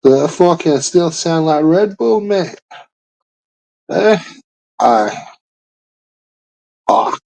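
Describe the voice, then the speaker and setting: A man's voice: a little high, slow pace, creaky, pauses often, dark tone, low-quality recording. A man, rambling and swearing through a laid-back casual chat.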